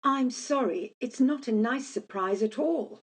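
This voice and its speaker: Woman acting polite grave female company messenger, radio play, hesitant, high pitch, husky. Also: a little slow. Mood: apologetic. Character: apologetic, formal female company messenger bearing bad news.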